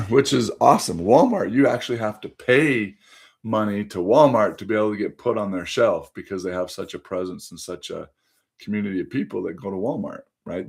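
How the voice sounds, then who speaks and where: Hoarse-edged man pitching on a phone livestream. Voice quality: conversational, addresses viewers directly, frequent fillers. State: voice going hoarse, under the weather. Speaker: livestream host.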